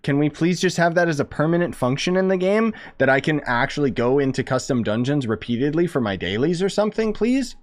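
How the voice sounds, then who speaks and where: A man's voice: a little high, lively intonation, a little fast, hardly any pauses. A man streaming a game with casual, opinionated, sardonic commentary. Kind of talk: casual live gameplay commentary. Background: game music.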